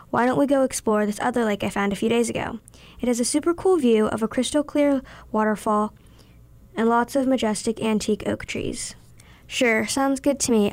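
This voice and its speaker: High-voiced young female story reader, creaky. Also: dry recording. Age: teenager. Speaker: young writer reading own story. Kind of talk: scripted story reading.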